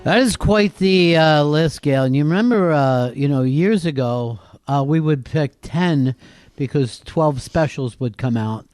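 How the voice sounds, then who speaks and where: A man's voice: fairly high pitch, unhurried, a little mellow, faint music behind. A man hosting a comedy talk show with casual, joking, rambling banter.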